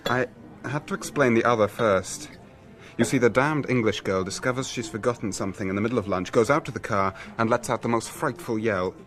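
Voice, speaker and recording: A man's voice: medium pitch, fairly quick, a bit bright, faint music behind, natural voice. A man playing a fussy, aggrieved restaurant owner in a radio drama, fretful and exasperated. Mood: agitated.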